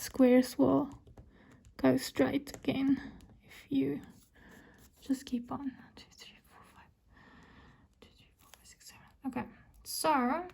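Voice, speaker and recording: A South African woman chatting while she crafts, slipping into whispers as she counts. Her voice sits high but stays fairly level, and she talks at an unhurried, slow pace. Her tone is mellow and soft. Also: vocal fry, husky. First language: Afrikaans. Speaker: crafting vlogger. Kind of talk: casual chat while crafting.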